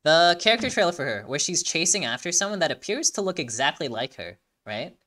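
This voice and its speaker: Hyped video host talking super fast, bright voice, rambly reaction commentary, keeps tripping over words. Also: medium pitch, big pitch swings. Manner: rambling, stumbling, repeats phrases while searching for words; enthusiastic. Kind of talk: casual reaction and breakdown commentary.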